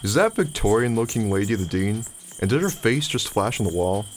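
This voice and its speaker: A man's voice: fairly low pitch, animated, brisk, soft background music, close to an everyday voice. A young man playing an earnest, skeptical college freshman in an audio drama, casual, awkward and frank. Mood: bemused, awkward. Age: young adult. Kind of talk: naturalistic audio drama acting.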